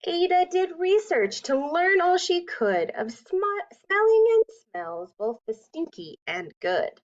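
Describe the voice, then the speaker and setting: Female storyteller, lively swooping pitch, unhurried dramatic phrasing, children's read-aloud, dull lo-fi recording. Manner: expressive, deliberate phrasing with dramatic emphasis. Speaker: storyteller reading a picture book aloud.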